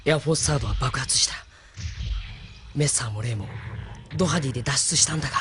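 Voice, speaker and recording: Quick-talking man acting a grim military pilot. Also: mid-range voice, fairly bright tone, a little hiss or hum, close to an everyday voice. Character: grim male military pilot reporting losses. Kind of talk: Japanese audio drama acting.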